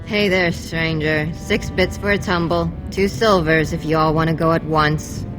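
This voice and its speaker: Low-voiced jaded streetwalker. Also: female voice, fairly even intonation, measured pace, poor audio. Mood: brazen. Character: jaded female dwarf beggar streetwalker. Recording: game music behind the voice.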